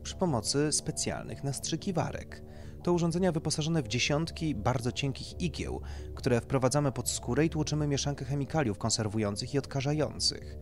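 A man's voice: mid-range voice, animated, fairly quick, soft background music, pitched above the performer's own voice, natural voice. A man voicing a polite, tactful male doctor who explains procedures clinically in an audiobook. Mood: reassuring. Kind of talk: audiobook character dialogue.

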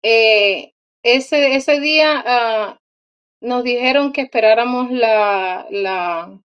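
Woman in her thirties, from Cuba, fairly high voice, cheerful, laughs easily, halting fillers, video call, small room. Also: smooth, highs cut off. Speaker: guest. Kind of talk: casual chat, telling her experience. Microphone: video call, laptop or phone camera.

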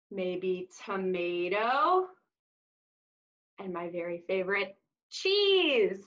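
A woman in her thirties reading a picture book aloud to young children, expressively, with exaggerated emphasis and drawn-out words. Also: fairly high pitch, bright, forceful tone, muffled recording. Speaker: children's storytime reader. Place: small room indoors, seated on floor.

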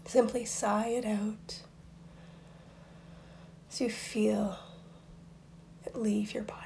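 A woman guiding a meditation in a soft, breathy, near-whispered voice, soothing and unhurried, with long pauses between instructions. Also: medium pitch, little pitch movement, slight background noise. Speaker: meditation guide. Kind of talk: guided meditation.

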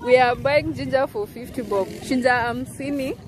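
Chatty high-voiced Kenyan woman vlogging. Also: moderate pace, crowd noise. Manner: chatty, laughs often, talks straight to viewers. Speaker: travel vlogger. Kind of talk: casual travel vlog narration. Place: outdoors by a roadside market. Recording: loud outdoor noise.

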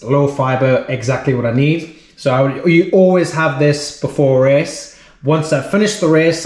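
A man in his thirties with an English accent, chatting casually to camera in a vlog and explaining things as he goes, recorded in a small home kitchen on the camera's mic. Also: on the high side. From England. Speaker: running vlogger. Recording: echoey kitchen room sound.

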